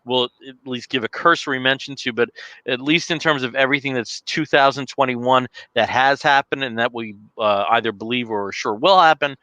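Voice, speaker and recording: A man with a New Jersey accent co-hosting a casual podcast, talkative and quick to joke and laugh. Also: medium pitch, pushed and bright, smooth, no echo, low-quality recording. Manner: jokey, talkative, laughs often. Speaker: podcast co-host. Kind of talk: casual chat. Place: home room, dim lighting.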